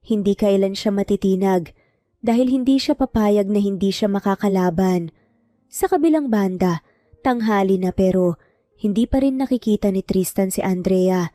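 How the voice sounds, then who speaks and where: A woman's voice: mid-range voice, normal speed, warm tone, dry recording. A woman narrating a scripted romantic drama story as a storyteller.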